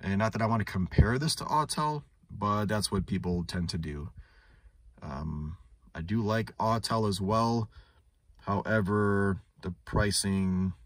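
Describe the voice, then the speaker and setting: A man with a low-pitched voice walks through a tool demonstration off the cuff. He sounds like a working technician, chatting conversationally and thinking aloud as he goes. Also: no room sound. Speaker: automotive technician reviewing a scan tool. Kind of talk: casual unscripted product demonstration. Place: inside a parked car in a shop.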